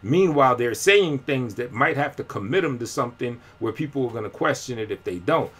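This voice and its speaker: A man's voice: mid-range voice, animated, brisk, a bit bright, husky. A man talking casually on a live stream, rambling in slang with lots of fillers and restarts. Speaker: independent rapper and vlogger.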